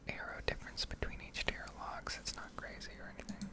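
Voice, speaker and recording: A woman whispering a casual, rambling, slangy ASMR commentary over gameplay, close to the microphone. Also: quick pace. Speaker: game streamer and ASMR video creator.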